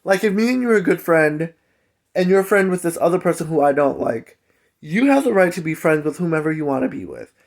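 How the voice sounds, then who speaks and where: Chatty higher-voiced man telling a personal story. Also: expressive, brisk, creaky. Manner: chatty, conversational, emphatic asides. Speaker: storytelling vlogger. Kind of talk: casual personal story time.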